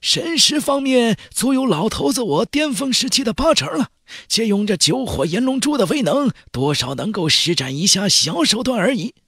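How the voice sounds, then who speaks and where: A man voicing a boastful, crotchety old Taoist cultivator who teases and brags in an unhurried, raspy way. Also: sits high, very animated, sharp tone, no echo, studio quality, pitched above the performer's own voice, heavily acted. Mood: boastful, teasing. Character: cocky old male Taoist cultivator master, teasing and boastful.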